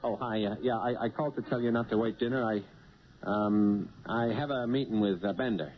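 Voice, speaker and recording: A man acts out a nervy cab driver trying his hand at blackmail in a seventies radio drama. He talks like a folksy working man, hesitating as he presses. The old broadcast is muffled, with a loud vehicle rumble behind him. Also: fairly quick, close to an everyday voice. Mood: nervous, evasive. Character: male cab driver turned nervy small-time blackmailer. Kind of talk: 1970s radio drama acting.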